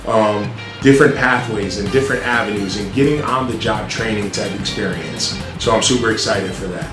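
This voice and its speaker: A middle-aged man, a school principal, answering interview questions in a loud, earnest way with frequent ums and restarts. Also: medium pitch. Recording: roomy sound with music behind.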